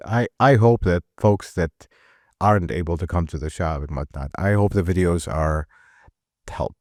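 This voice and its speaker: A middle-aged mechanic from Iraq, talking earnestly in a casual conversation, often repeating and restarting words. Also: male voice, low-pitched, normal speed, a little mellow, no room sound, clean studio sound. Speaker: mechanic and shop owner.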